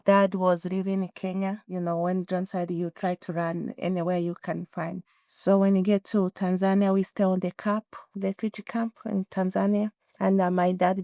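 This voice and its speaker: A woman in her thirties, born in Rwanda, earnestly telling her own story in plain, halting phrasing. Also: medium pitch, creaky, darkish tone, no echo, studio quality. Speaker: interviewee. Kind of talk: personal storytelling.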